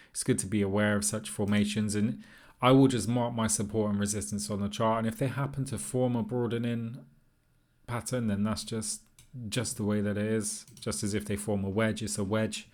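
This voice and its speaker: Man, lowish pitch, informal explainer, tutorial presenter, tentative, easygoing, thinking aloud. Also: darkish tone.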